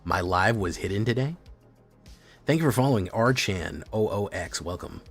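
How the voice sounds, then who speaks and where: A man's voice: fairly low pitch, normal speed, slightly rough. A man streaming a card game, chatting casually with his viewers and reacting to plays. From Chile. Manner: relaxed, chatty, reacting to chat. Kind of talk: casual live-stream commentary. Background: faint music.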